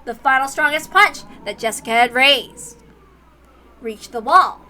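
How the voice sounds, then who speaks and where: A woman narrating a visual novel aloud in an expressive, dramatic storytelling style. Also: high voice, a little slow. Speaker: narrator, reader.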